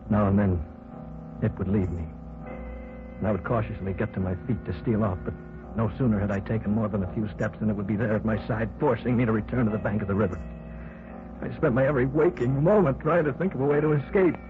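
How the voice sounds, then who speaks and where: A man's voice: lowish voice, natural voice. An actor playing a frightened man held captive underground, telling his tale in tense, dramatic old-time radio narration. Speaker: first-person narrator of a radio play. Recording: scratchy, tinny old radio broadcast.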